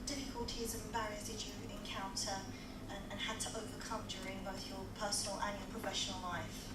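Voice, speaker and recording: A woman's voice: medium pitch, little pitch movement, brisk. Hesitant woman interviewer in a roomy hall. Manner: hesitant, many fillers. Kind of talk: reading interview questions. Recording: roomy, distant mic, loud background noise.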